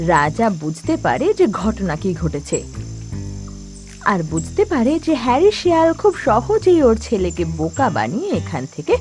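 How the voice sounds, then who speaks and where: A woman's voice: mid-range voice, darkish tone. A woman narrating a cartoon moral tale in a dramatic, scripted storytelling style.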